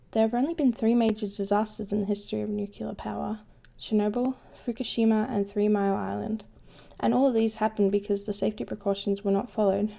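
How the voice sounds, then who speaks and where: A woman's voice: mid-range voice, vocal fry, dark tone. A woman playing a knowledgeable, unflappable ship's engineer who explains things patiently in an educational cartoon. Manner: patient, instructive. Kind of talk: scripted educational explanation in animation.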